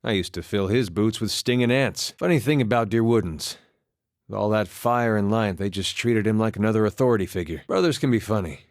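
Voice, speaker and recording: An actor playing a wry, easygoing farmer and war veteran with a folksy, understated way of talking, in a video game. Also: male voice, on the low side, fairly quick, dry recording, clean studio sound, close to an everyday voice. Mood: wry, reflective. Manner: folksy, understated, dryly humorous, drops words. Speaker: video game companion character. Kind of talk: video game voice acting, conversational dialogue.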